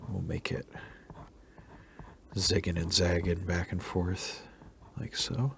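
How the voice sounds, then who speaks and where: Male art tutor, deep raspy voice fighting a cold, soft relaxed commentary. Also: slow, slight background noise. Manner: soft-spoken, thinking aloud while sketching, sometimes half-whispered. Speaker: drawing tutorial host.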